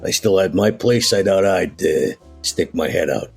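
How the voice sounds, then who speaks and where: Man as gravelly, very deep streetwise old vampire. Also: moderate pace, darkish tone, soft background music, heavily acted. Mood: easygoing, humble. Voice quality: gravelly, husky. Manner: folksy old-time slang, hesitant, offhand. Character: streetwise, deferential century-old male vampire tough guy.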